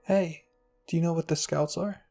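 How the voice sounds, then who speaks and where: A man voicing a quiet, caring young girl in an anime fan story, soft and questioning. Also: on the high side, steady tone, moderate pace, creaky, soft background music. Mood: curious, caring.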